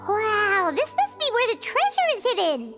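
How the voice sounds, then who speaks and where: A woman voicing a tiny, eager, excitable girl companion with a squeaky voice in a video game. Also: normal speed, full character voice. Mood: amazed. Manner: squeaky, exclaiming. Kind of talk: video game character acting. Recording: music behind the voice.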